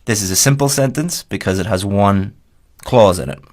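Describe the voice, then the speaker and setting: A man's voice: fairly low pitch, normal speed. A man from Ireland, teaching a lesson over slides in a direct, explaining way that repeats key points.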